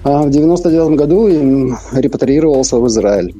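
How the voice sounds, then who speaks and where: A man's voice: mid-range voice, expressive, fast, warm tone, breathy edge, highs cut off, soft background music. A middle-aged man from Ukraine, talking earnestly on a live radio interview by phone, repeating his phrases with hesitant fillers. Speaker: interview guest. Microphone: phone link.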